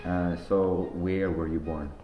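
A teenage boy from Norway hosting a joking school podcast, talking in deadpan, slangy banter. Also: deep voice, slow, reverberant, lo-fi sound, faint music behind. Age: teens. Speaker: podcast host. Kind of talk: joking student podcast interview.

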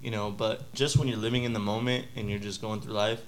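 A man's voice: on the low side, fairly even intonation, fast, a little hiss or hum. A young man talking casually as a guest, rambling with lots of fillers and restarts. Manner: laid-back, rambling with many fillers. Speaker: podcast guest. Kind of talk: casual chat.

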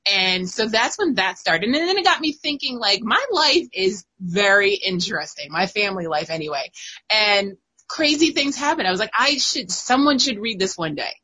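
A woman in her thirties chatting casually as a guest writer, an animated storyteller who laughs often. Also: mid-range voice, normal speed, slightly rough, low-quality recording. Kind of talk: casual chat.

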